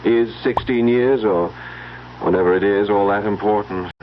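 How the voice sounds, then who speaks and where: An actor playing a sardonic, brooding older stepbrother with a wry, theatrical manner in a radio drama. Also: male voice, lowish voice, normal speed, close to an everyday voice. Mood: wry, wistful. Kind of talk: 1970s radio drama acting. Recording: dull lo-fi old radio broadcast, faint noise.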